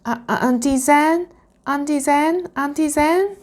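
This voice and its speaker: A woman's voice: high-pitched, expressive, measured pace, vocal fry, a little mellow, pitched above the performer's own voice, full character voice. A woman voicing a small, timid young male dragon in a storybook, anxious and pleading. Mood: panicked pleading.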